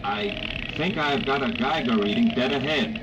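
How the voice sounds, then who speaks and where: A man playing an uneasy, superstitious navy technician who talks haltingly, acted in 1950s radio drama style on an old muffled recording. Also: medium pitch, distant mic, very noisy, natural voice. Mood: focused. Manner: hesitant, halting with uh's.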